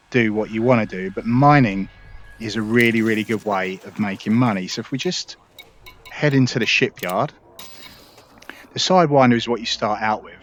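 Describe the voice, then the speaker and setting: A man's voice: fairly low pitch, slight background noise. A man hosting a casual gameplay tutorial, explaining things in a chatty, upbeat way. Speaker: gaming video host.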